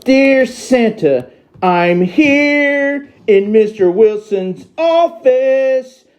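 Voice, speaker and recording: Man as childlike elf, high voice, very animated, theatrical, children's storybook reading. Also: heavily acted. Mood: cheerful, earnest. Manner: slow, theatrical, exclaiming.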